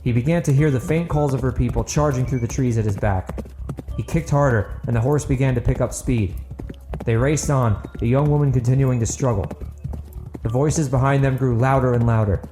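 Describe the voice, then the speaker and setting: Mid-pitched man narrating dramatic fantasy. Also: brisk, background noise. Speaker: audiobook narrator.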